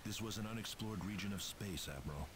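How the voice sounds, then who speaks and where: A man's voice: on the low side, fast talker, lightly acted. A man playing a composed, resolute military officer in a video game. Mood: stern, resigned. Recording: clear hiss over game audio.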